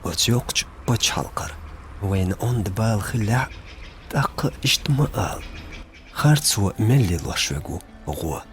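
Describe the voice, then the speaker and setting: A man voicing a grave, solemn narrator figure in an animated film, speaking in short phrases. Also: lowish voice, slow pace. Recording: voice under loud background music.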